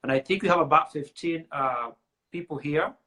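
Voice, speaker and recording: A man's voice: fairly high pitch, normal speed, pushed and bright. A man hosting a casual live-stream chat, greeting viewers and talking with many filler sounds. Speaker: show host.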